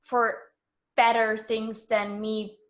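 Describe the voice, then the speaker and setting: A woman with a slightly high-pitched voice talks as a radio interview guest. Her style is conversational and casual. She comes across as earnest and decisive, saying plainly what she thinks. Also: level tone, slow.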